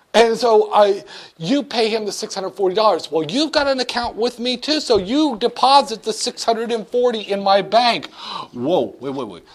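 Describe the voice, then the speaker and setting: A man teaching economics in a classroom lecture, his voice sitting high and swinging widely up and down as he talks. He keeps it playful, slipping into quick banker-and-customer skits to make each point. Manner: playful, acts out banker-customer dialogues with jokes.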